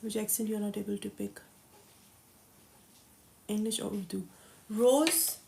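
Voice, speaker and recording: A woman hosting a casual live stream who reads viewers' questions aloud and answers them briskly and confidently, mixing English and Urdu. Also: mid-range voice, steady tone, vocal fry, mellow, a little hiss or hum. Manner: confident, matter-of-fact market opinions. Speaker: crypto livestream host.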